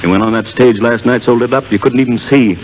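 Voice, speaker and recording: A man's voice: mid-range voice, quick pace, lightly acted. Vintage radio drama: old-timer show boss man acting, American, stern straight talker, mid-range voice, muffled broadcast sound. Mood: firm, admonishing. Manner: blunt, firm, avuncular. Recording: dull, noisy 1930s radio recording.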